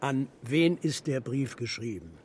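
Husky, grumpy old shepherd. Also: male voice, mid-range voice, sing-song, unhurried, a little mellow, heavily acted. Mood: gruff, defiant. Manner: gruff, defiant, terse. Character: grumpy, stubborn old shepherd grandfather of the steppe. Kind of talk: German children's radio drama acting.

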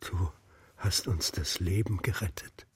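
Husky, breathless old grandfather. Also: sits low, a little mellow, no echo, in a whisper, exaggerated voice. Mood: weak, puzzled. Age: older. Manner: weak, laboured, halting delivery. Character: gruff, wounded old Mongolian herdsman grandfather. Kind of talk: radio play acting.

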